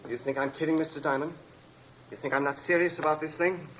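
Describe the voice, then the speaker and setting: A deranged convict played by a male actor speaks in a highish voice with icy courtesy and precise, composed threat. It sounds like a 1950s radio thriller, with noise over the recording. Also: steady tone, quick pace, fairly bright tone, natural voice. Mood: calmly menacing. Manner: polite, composed, coldly precise. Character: deranged, calmly menacing escaped male convict with a bomb. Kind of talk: 1950s radio drama acting. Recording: noisy vintage radio recording.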